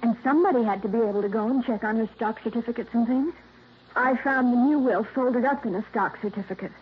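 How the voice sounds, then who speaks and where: An actress plays a bitter young woman in her twenties, fairly high-pitched and sharp-tongued. Her voice comes through thin and tinny, like an old radio broadcast with a faint hiss behind it. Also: fast talker, natural voice. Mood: nervous. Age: 20s. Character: bitter young woman, niece cut from will. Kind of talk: 1950s radio drama acting.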